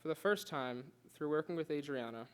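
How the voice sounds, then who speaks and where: A man's voice: a little high, animated, a little fast, clean tone. A young man giving a prepared class speech, earnest, with occasional stutters and restarts. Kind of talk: prepared speech read aloud.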